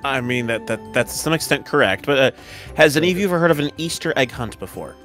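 Man acting fast-talking, patient robot professor. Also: medium pitch, expressive, a bit bright, faint music behind, natural voice. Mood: explanatory. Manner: halting, explanatory classroom talk. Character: robot professor, patient and fussy, teaching a class. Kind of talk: Minecraft roleplay acting.

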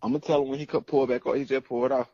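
A man chatting casually in slang on a recorded phone call, with a gravelly, husky voice and dull sound. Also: medium pitch, flat delivery, racing, mellow, no room sound.

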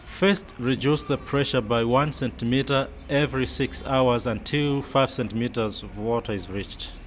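A man narrating a scripted medical training film in a measured, step-by-step way. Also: mid-range voice. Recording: faint background noise.

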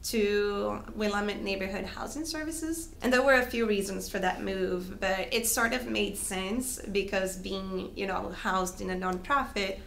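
A woman's voice: medium pitch, unhurried, vocal fry, few pauses, a bit bright. A woman in her thirties, born and raised in Brazil, speaking in a friendly, thoughtful way with frequent ums as a guest on an interview show. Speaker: guest, health coalition coordinator. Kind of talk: casual interview talk. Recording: echoey room with faint hiss.

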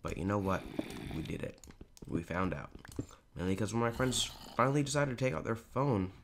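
A teenage boy talks through his game in a hoarse, raspy voice that sits a little low and keeps sliding into gravelly fry. He rambles along with plenty of fillers in a casual, chatty way. Keyboard clicks come through clearly behind him. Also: lively intonation, normal speed, noisy background. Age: teens. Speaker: gaming YouTuber. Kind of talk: casual Minecraft commentary.

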